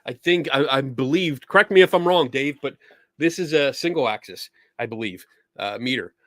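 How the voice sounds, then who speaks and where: A man hosting a live stream, answering viewer questions in a rambling, casual way with many fillers, and his voice is going hoarse. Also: medium pitch, expressive, moderate pace, a bit bright, no room sound, clean studio sound. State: losing his voice, clearing throat.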